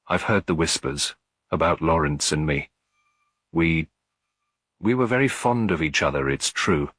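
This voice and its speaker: A man's voice: rumbling low, a little slow, fairly bright tone, no echo, studio quality, heavily acted. A man voicing an unhappy younger woman in a detective story, speaking in a subdued, hesitant, confessional manner. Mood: subdued, confiding. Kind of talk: detective story character reading.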